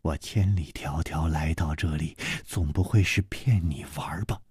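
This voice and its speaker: A man voicing a cold, haughty young martial-arts woman in an audiobook, with a deliberate, menacing delivery. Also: rumbling low, darkish tone, slightly rough, no room sound, studio quality, voice pushed down, exaggerated voice. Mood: cold threatening.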